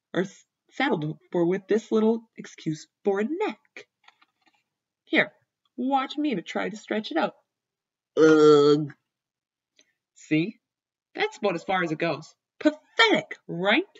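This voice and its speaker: A woman reading as a small, polite male tortoise who speaks formally and longs for a longer neck, with a slightly husky voice. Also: lowish voice, dull audio, full character voice. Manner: formal, courteous, wistful.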